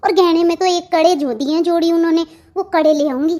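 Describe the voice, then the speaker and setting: A woman's voice: very high voice, steady tone, quick pace, mellow. A woman voicing a restless, lovesick young married woman in a melodramatic cartoon, pleading and eager. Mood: eager, secretive. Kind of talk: melodramatic family cartoon dialogue.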